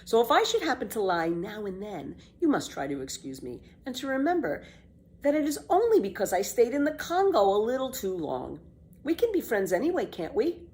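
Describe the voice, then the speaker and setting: A woman's voice: a little high, wildly swooping pitch, fairly quick, darkish tone, pitched above the performer's own voice. A woman voicing a bold, boastful little girl in a storybook, with theatrical, emphatic, carefree delivery. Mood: earnest, persuasive. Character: bold, boastful, exuberant little girl telling tall tales. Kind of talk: children's storybook read-aloud.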